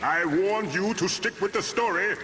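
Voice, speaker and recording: A man's voice: high-pitched, normal speed, close to an everyday voice. A man voicing a scolding, ominous grim reaper in a cartoon. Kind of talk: cartoon voice acting. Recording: echoey, loud music underneath.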